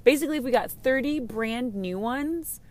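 A slightly hoarse woman chatting candidly and casually as a podcast host, rambling and gushing, easily distracted. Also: high-pitched, normal speed, darkish tone. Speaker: podcast host and game streamer. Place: inside a parked car.

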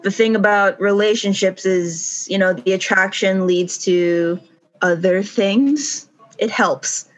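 A woman's voice: mid-range voice, slow, a little hiss or hum. A woman co-hosting a podcast, talking casually in a rambling, self-correcting way and laughing easily. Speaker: podcast co-host. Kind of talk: casual chat. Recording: dull lo-fi recording.

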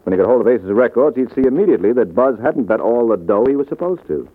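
An actor playing a wry, self-assured private detective with an American accent, glib and slangy in an old radio drama. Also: male voice, mid-range voice, expressive, natural voice. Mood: confident, probing. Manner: glib, slangy, unhurried reasoning. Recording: muffled narrow-band old radio recording.

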